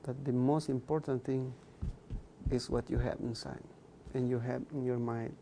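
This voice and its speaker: Gravelly man with a K'iche' Mayan accent. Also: mid-range voice, fairly even intonation, moderate pace, mellow, slight background noise. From Guatemala. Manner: earnest, repeats phrases for emphasis. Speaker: guest. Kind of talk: earnest interview talk.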